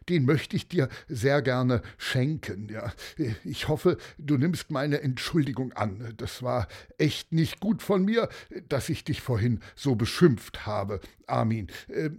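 A man's voice: medium pitch, expressive, slow, a little mellow, breathy edge, exaggerated voice. A man voicing a kindly, flustered nutcracker seller in a children's story, hesitant and stumbling over his words. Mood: apologetic. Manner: hesitant, full of ums.

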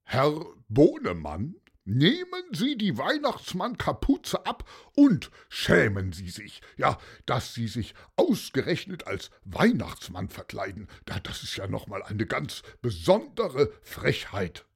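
A man's voice: mid-range voice, huge pitch swings, takes it slowly, gravelly, slightly rough, exaggerated voice. A man voicing a firm, righteous retired policeman in a children's story, talking in a stern, accusing way.

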